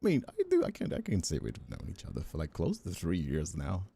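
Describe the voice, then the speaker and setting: Young male streamer from Brazil, dark mellow tone, animated delivery, rambling and self-deprecating casual chat. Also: sits low, a little fast, vocal fry, slightly rough, no room sound. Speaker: ASMR voice actor and streamer.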